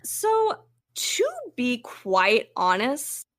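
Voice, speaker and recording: A woman's voice: on the high side, big pitch swings, very slow. A woman co-hosting a podcast, chatting informally and candidly with a giggly manner. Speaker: returning podcast co-host. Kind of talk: casual chat.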